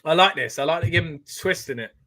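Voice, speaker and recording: A man reacts live as he hosts a watch-along. He talks in a chatty, excitable way and reads out viewer comments between his reactions. His voice is forceful and bright and sits a little high. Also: fast talker. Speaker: wrestling watch-along host.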